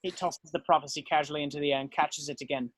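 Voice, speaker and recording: A man's voice: a little high, steady tone, quick pace, fairly bright tone, no echo, poor audio. A man reading stage directions as narrator in a scripted style.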